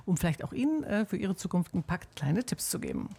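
A woman's voice: on the low side, huge pitch swings, fast talker, vocal fry, mellow, husky, faint music behind. A woman hosting a radio interview, chatty and upbeat, asking her guests questions. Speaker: radio show host.

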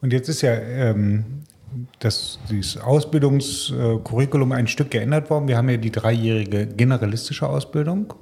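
Mellow-voiced man in conversation. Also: mid-range voice, normal speed. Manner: conversational, frequent affirming murmurs. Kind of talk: interview conversation.

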